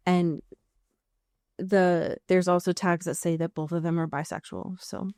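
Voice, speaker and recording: An American woman co-hosting a podcast in casual, comedic chat, riffing with mock exasperation and drawn-out emphasis. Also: on the low side, level tone, mellow, clear, resonant tone, no room sound, studio quality. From US Pacific Northwest. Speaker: podcast co-host.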